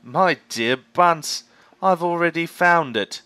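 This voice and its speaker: A lean, crafty farmer who drawls his words slowly and smugly, as a male storyteller puts him on. The voice is bright and dry, relishing every cunning word. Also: a little high, clear, resonant tone, dry recording, pitched above the performer's own voice. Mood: condescending. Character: thin, crafty, cider-drinking farmer.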